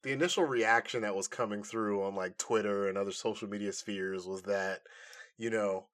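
A man's voice: on the low side, fairly even intonation, moderate pace, fairly bright tone. Casual, chatty male podcast host. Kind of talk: casual nerd-news podcast chat.

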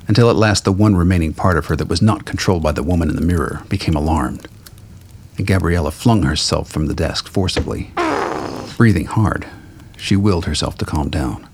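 A man reading scripted dramatic fiction as a narrator, with a gravelly, husky voice. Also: rumbling low.

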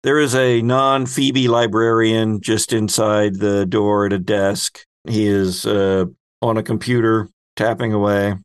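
A man narrating a tabletop role-playing game as game master, describing scenes in measured phrases with pauses. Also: lowish voice, little pitch movement, clear, resonant tone, no echo.

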